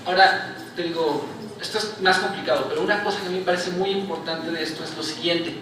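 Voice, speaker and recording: A man's voice: high voice, fairly even intonation, fast talker. A man with a Mexican accent, a book-presentation panelist giving an academic answer in a university lecture hall, explaining step by step and often closing phrases with a questioning tag. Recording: echoey hall, picked up from far off, noisy.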